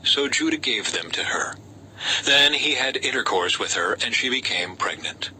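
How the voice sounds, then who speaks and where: A man narrates scripture in a sharp, very bright voice of medium pitch. His delivery is scripted and measured, like an audiobook, with quoted speech lightly acted. There is faint background noise in the recording. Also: expressive, poor audio. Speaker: audiobook-style scripture reader. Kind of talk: scripted narration of a Bible story.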